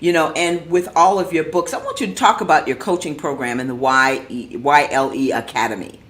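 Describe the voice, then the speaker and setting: A woman's voice: sits low, brisk. A woman from Charleston hosting a talk show, encouraging her guest and adding affirmations as he speaks. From Charleston, South Carolina. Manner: warm, encouraging, affirming interjections. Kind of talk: interview hosting.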